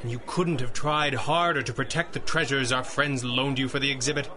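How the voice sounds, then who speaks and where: A man playing a steady, reassuring gentleman friend in 1930s-style radio drama, soothing and earnest. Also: medium pitch, fairly quick, natural voice. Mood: consoling.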